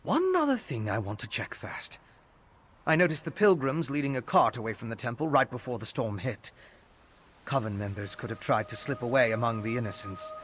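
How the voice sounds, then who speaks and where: An actor playing an earnest, inquisitive scholar in a fantasy video game, speaking in a measured, articulate, analytical way. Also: male voice, medium pitch, sing-song, a bit bright, breathy edge. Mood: intrigued, analytical. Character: earnest, inquisitive male scholar investigating dark rituals.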